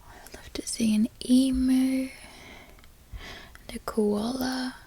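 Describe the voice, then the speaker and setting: A young woman from Florida whispering softly in a chatty, rambling way, as a relaxed ASMR talk. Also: sits high, slow pace, slightly rough. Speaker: ASMR creator.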